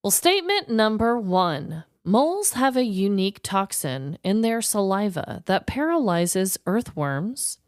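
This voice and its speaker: A woman with a smooth, clear voice and lively, swinging pitch chats as a podcast co-host. Her delivery is casual and slangy, recorded close and dry. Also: measured pace, hardly any pauses, dry recording. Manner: animated, chatty, slangy. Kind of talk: casual comedic chat.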